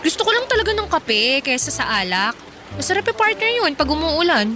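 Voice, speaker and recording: A woman's voice: sits high, sing-song, fairly quick. A woman playing an earnest, stubborn, dreamy seventeen-year-old girl in a Filipino radio drama, chatty and insistent. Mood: playfully defensive. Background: music.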